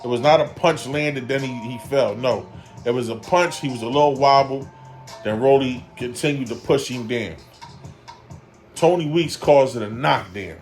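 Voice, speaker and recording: A man in his forties delivers a loose, rambling boxing breakdown, his voice sitting at a middle pitch. He hammers the same points again and again, padding his lines with easy fillers. Faint music plays quietly behind him. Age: 40s. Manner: emphatic, repeats his points for effect. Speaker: boxing commentary vlogger. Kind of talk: casual boxing breakdown vlog.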